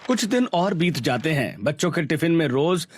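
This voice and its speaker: A man's voice: fairly high pitch, sing-song, normal speed, husky. A man narrating a scripted Hindi cartoon story.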